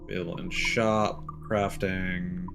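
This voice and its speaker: A man's voice: low voice, level tone, unhurried, gravelly. A man doing casual live gameplay commentary as a streamer, muttering to himself as he plays. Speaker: game streamer. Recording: loud game audio and music behind.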